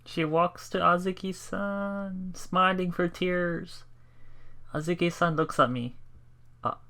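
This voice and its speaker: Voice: medium pitch, fairly even intonation, slow pace, a bit bright. A person doing a casual let's play, reading visual novel narration aloud and commenting on it, with game music behind.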